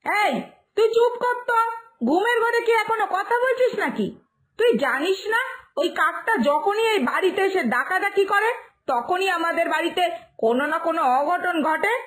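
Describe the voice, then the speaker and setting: A woman's voice: expressive, normal speed, fairly bright tone. A woman voicing an exasperated, superstitious village mother who scolds and wails in a cartoon fairytale. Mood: scolding, pleading. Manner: shrill scolding, wailing complaints.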